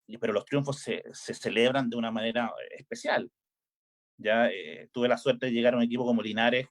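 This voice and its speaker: Man, Chilean accent, middle-aged, casual interview talk, hesitant, lots of fillers. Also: mid-range voice. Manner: hesitant, many filler "eh"s and restarts. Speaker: volleyball coach. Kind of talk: relaxed interview chat.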